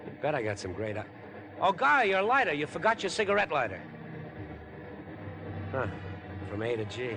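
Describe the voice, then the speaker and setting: A man's voice: a little high, big pitch swings, normal speed, long pauses, over music. An actor in a 1950s radio drama playing an overfriendly, wheedling man who obsessively plots murder. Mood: pleased, insinuating. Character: chatty, ingratiating, obsessive murder-plotting man on a train. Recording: vintage 1950s radio broadcast.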